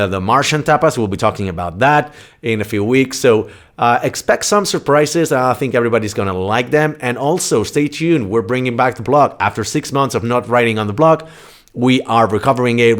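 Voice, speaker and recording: A male podcast host talking in a loose, chatty way. He is blunt, pauses on fillers and restarts his sentences, and his voice sits at an ordinary middle pitch. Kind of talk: casual podcast interview.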